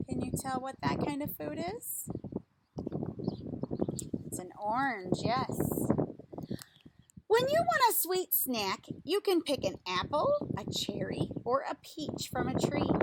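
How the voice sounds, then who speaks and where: A woman reading a picture book aloud like a teacher, in a deliberate, instructive way, putting questions to young listeners. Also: high voice, very noisy.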